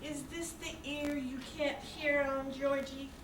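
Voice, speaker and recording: Woman as a sweet high-voiced little girl. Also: little pitch movement, measured pace. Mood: tender. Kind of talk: old-time radio play acting. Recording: roomy, distant, noisy stage recording.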